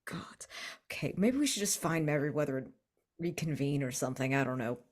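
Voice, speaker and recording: A woman's voice: deep voice, lively intonation, a little fast. A woman playing a tabletop roleplaying game, improvising in character with a dry, exasperated manner, trailing off.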